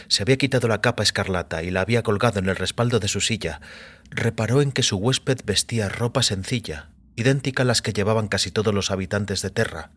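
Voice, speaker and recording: A man's voice: on the low side, fairly bright tone. A man giving a scripted, dramatic literary narration for an audio story. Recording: background music under voice.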